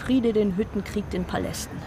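A woman's voice: mid-range voice, moderate pace, noisy background, lightly acted. A woman playing a disillusioned former radical. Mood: reflective. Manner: earnest, reflective, speechifying. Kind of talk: radio drama acting.

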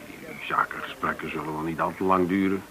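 A man's voice: low voice, flat delivery, a little fast, a crowd in the background, close to an everyday voice, rough recording. An actor playing a courteous, somewhat hesitant middle-aged businessman in a naturalistic radio play. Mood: confiding, tentative. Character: Dirk Norman, courteous male businessman living off share sale. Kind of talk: naturalistic radio drama acting.

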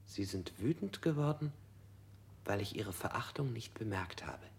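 Gravelly broken prisoner, flat and numb, half-whispered. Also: male voice, lowish voice, measured pace, very noisy. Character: battered male prisoner under interrogation. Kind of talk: 1960s radio play acting.

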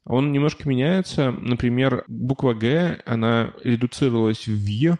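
A man's voice: medium pitch, normal speed, a little mellow. A man chatting casually on a podcast, telling stories with many fillers and restarts. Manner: rambling storytelling with frequent fillers and restarts. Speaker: podcast guest living abroad. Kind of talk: casual chat.

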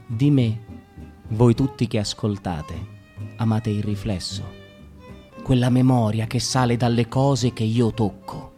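A man reading a radio drama with an Italian accent, slow and halting, rambling in places. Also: mid-range voice, warm tone, faint music behind.

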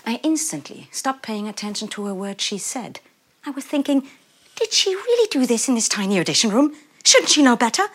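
An actress playing an exasperated, theatrical casting director who delivers an emphatic spoken-word monologue in a husky voice. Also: female voice, fairly high pitch, a bit bright, heavily acted. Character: dramatic, exasperated female casting director telling an audition story.

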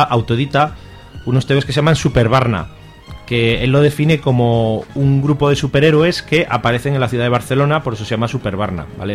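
A man with a Spanish accent from Spain co-hosting a casual radio chat about comics, colloquial and joking. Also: medium pitch. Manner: colloquial, jokey. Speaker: radio show co-host.

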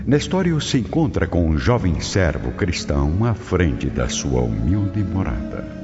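Male narrator, extremely deep, widely swooping pitch, solemn theatrical radio drama delivery, reverberant. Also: very animated, a little slow. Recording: long reverb, dull audio, music behind.

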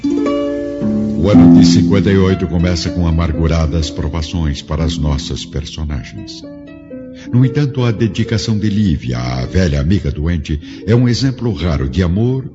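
Very deep Brazilian male narrator. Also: animated, moderate pace. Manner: solemn, literary. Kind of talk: dramatic scripted narration. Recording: dull lo-fi audio over faint music.

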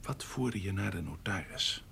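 An actor playing a slippery, corrupt privy councillor at an eighteenth-century court in a radio drama, insinuating and evasive. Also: male voice, low-pitched, lively intonation, normal speed, vocal fry, bright, forceful tone, husky, full character voice. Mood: sly, mocking. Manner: slippery, insinuating, drops to murmurs.

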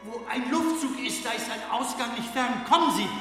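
An actor in a German radio drama playing an eager, playful male film comedian lost in darkness, with a theatrical, expressive delivery. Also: very high-pitched, normal speed. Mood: straining, searching. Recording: long hall reverb, music behind.

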